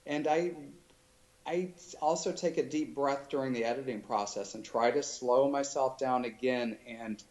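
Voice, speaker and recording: Thoughtful, halting man in an interview. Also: mid-range voice, darkish tone. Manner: thoughtful, halting with many restarts and fillers. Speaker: author guest. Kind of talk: casual interview chat.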